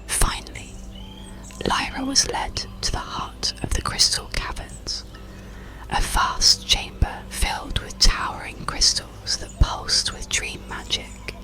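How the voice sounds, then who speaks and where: A narrator reading a bedtime story in a breathy ASMR whisper, soothing, measured and unhurried. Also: sharp tone, poor audio. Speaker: audiobook narrator.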